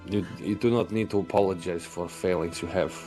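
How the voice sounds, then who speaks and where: A man's voice: low-pitched, fairly even intonation, brisk, dark tone, faint music behind. A man playing a tabletop character who speaks in stiff, formal, broken phrasing. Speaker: player voicing Delgrim.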